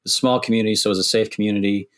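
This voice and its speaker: A middle-aged man from Wisconsin with a Midwestern accent softened by years in Canada, answering interview questions thoughtfully with many restarts. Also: lowish voice, steady tone, racing. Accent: Midwestern American, softened by years in Canada. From Wisconsin, USA. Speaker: construction executive guest.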